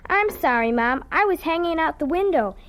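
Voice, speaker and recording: A woman's voice: very high voice, lively intonation, normal speed, background noise. A woman playing an eager, dreamy ten-year-old farm girl in a radio drama, with a childlike, folksy way of telling her story. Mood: eager, pleading. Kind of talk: radio drama first-person narration and dialogue.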